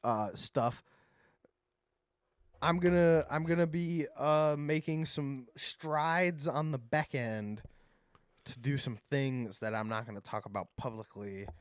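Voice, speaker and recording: A young man from Ohio trading loose, rambling talk on a podcast, cursing freely and admitting when he doesn't remember. His voice sits at an ordinary pitch for a man. It is recorded close and dry, with no room sound. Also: takes it slowly, clean tone, no room sound. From Ohio, USA. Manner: loose and rambling, swears often, hedges with "I don't remember". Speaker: podcast co-host. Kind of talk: casual chat.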